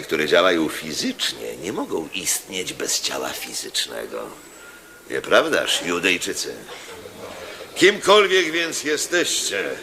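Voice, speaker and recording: A man's voice: on the low side, bright, forceful tone, slight background noise. An actor playing a pompous, eloquent Roman dignitary in a radio drama, declaiming to his guests like an orator.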